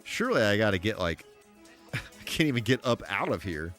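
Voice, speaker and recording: Male game streamer, American, deep register, chatty and good-humored, loose rambling delivery over faint music. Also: sing-song, moderate pace, a bit bright. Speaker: live streamer. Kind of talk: casual gaming livestream commentary.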